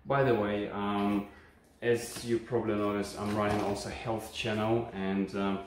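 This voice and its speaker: A man with a Ukrainian accent talks through a casual home-kitchen review, friendly and explanatory, often pausing on fillers. His fairly low voice bounces around a hard, very echoey kitchen. A phone camera set some distance away picks him up over a faint background hum. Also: level tone. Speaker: channel host reviewing a kitchen appliance. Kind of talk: casual unscripted product review.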